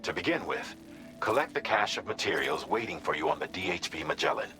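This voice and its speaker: A calm game mission coordinator with a low voice. He gives formal, precise briefings in a measured way. The sound is roomy and echoing, with music behind him. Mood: measured, businesslike.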